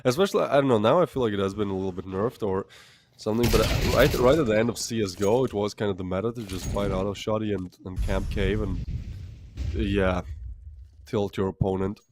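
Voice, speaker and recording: A low-voiced man from the Baltics commentates a live esports match with chatty, excitable play-by-play. A steady hiss of noise sits under his stream audio. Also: a little fast. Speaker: esports caster.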